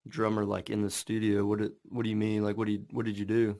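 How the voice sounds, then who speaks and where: Man, podcast host, casual slang, fairly low voice. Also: completely flat delivery, fast, darkish tone. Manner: laid-back, slangy. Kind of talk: casual interview.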